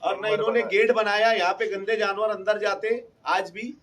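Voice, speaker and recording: High-pitched man speaking at a meeting, distant. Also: fairly even intonation, moderate pace, a bit bright. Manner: insistent, repeats points. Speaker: community member speaking at a public meeting. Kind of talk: impromptu public meeting speech. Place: local community meeting, at a distance from the mic.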